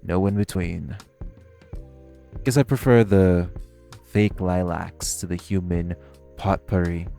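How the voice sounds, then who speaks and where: Man playing a deep, gravelly, cocky male vampire. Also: fairly even intonation, slow pace, pauses often, dark tone, faint music behind, heavily acted. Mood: disgusted, wry. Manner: smug, taunting, drawling, playfully menacing. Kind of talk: intimate roleplay audio drama.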